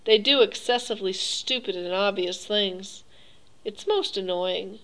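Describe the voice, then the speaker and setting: A woman reading a story aloud, voicing a suave and cruel aristocratic male Cossack hunter with silky, courteous, drawn-out phrasing. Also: mid-range voice, a bit bright, rough recording. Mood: genial.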